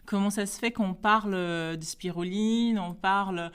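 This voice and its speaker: A woman's voice: medium pitch, unhurried, smooth. A middle-aged woman from Guinea-Bissau explaining things informally as a guest in a casual interview. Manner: informal, explanatory, occasional English words. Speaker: podcast guest, entrepreneur.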